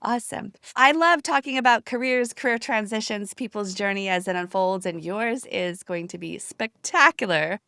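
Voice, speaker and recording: A woman's voice: mid-range voice, moderate pace, hardly any pauses, sharp tone, smooth, dry recording, clean studio sound. A woman hosting a conversational interview podcast with an effusive, encouraging manner.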